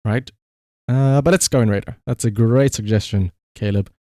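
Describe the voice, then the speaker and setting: A man's voice: mid-range voice, moderate pace, mellow, dry recording, studio quality. A man livestreamer chatting casually and rambling to his viewers, swearing freely with playful exasperation. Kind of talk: casual chat to stream viewers.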